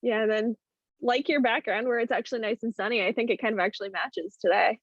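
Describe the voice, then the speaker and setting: A woman's voice: on the high side, fairly even intonation, fast, no room sound. A woman hosting a show, chatting casually and laughing easily with her guest on a video call. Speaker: show host. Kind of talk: casual chat. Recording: dull, dry video call audio.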